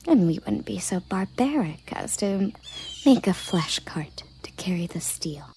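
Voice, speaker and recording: A woman voicing a dutiful, formal elven guard captain in a breathy, measured ASMR roleplay whisper. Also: fairly low pitch, lively intonation, darkish tone, slight background noise. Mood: calm, explanatory. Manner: formal, measured, matter-of-fact.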